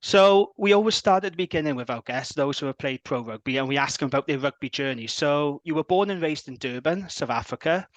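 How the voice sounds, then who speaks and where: An enthusiastic man hosting a podcast, talking in a somewhat high voice. The recording is close and dry, with little room sound. He keeps things chatty and upbeat. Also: expressive, fairly quick, hardly any pauses, dry recording. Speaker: podcast host.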